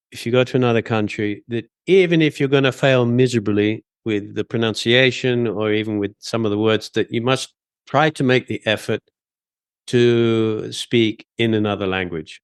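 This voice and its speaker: A man's voice: mid-range voice, moderate pace, clean tone, no room sound, clean studio sound. A man hosting a casual podcast conversation, earnest and hesitant with restarts. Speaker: podcast host.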